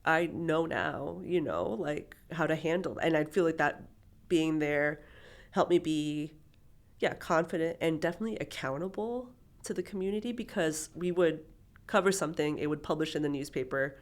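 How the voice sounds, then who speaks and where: Photographer guest, woman, 30s, from Peru via Miami; casual, rambling, slight vocal fry. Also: deep voice, moderate pace. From born in Peru, raised in Miami. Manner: chatty, with many fillers and restarts. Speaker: documentary photographer, interview guest. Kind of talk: casual interview conversation.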